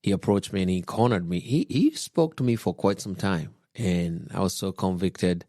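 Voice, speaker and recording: A man, calmly telling his personal faith story on a podcast, unhurried and reflective. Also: low voice, dry recording, studio quality. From Kenya. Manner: unhurried, reflective, with soft chuckles. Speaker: podcast guest husband, pastor.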